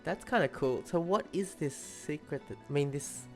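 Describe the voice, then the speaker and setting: A woman's voice: sits low, moderate pace, darkish tone. A woman giving casual, musing commentary as she reacts to a video game. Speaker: game streamer reacting to a story quest. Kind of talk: casual live commentary over game dialogue. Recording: game music behind the voice.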